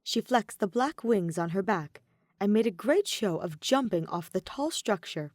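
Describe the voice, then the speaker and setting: A woman's voice: medium pitch, expressive, normal speed, a little mellow, no room sound, clean studio sound. A woman reading a scripted fan story aloud as its narrator. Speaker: audio fan fiction narrator.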